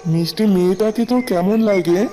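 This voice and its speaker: A man's voice: high voice, normal speed, warm tone, recorded from a distance, faint music behind, natural voice, rough recording. A man voicing a shy, lovestruck young male monkey in a cartoon, earnest and bashful. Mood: smitten. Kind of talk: cartoon dialogue dub.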